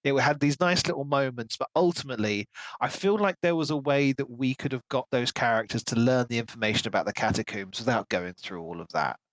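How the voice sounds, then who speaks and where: A man's voice: mid-range voice, a little fast, fairly bright tone, dry recording, poor audio. A man co-hosting a podcast, casually discussing a book and telling it like a story, often acting out imagined dialogue. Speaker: podcast co-host.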